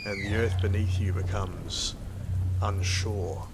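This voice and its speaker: An actor with an Australian accent playing a weary, wry, sweary company worker in an audio drama, with a dry, laconic delivery. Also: male voice, fairly low pitch, fairly even intonation, takes it slowly, very noisy. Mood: brooding, ominous. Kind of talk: first-person audio drama narration and dialogue.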